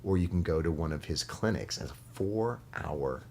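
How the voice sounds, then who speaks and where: A man talking as a guest in a casual studio conversation, earnest and halting, often restarting his sentences. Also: rumbling low, lively intonation, vocal fry, a little mellow, a little hiss or hum.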